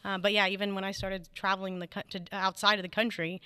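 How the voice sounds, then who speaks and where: Bright, crisp-voiced woman on a dry mic. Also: mid-range voice, little pitch movement, fast, dry recording. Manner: articulate, with frequent "um" and "mm-hmm" backchannels. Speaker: guest, engineering society leader. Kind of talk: casual interview answers.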